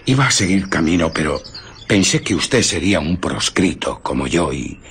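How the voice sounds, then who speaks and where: Un actor interpretando a un hombre invisible amenazante y autoritario, un marginado resentido, en un radioteatro. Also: male voice, sits low, sing-song, moderate pace, a bit bright, a little hiss or hum, heavily acted. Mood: menacing, insistent. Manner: commanding, coercive. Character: menacing, domineering invisible man, a bitter outcast scientist. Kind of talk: radio drama acting.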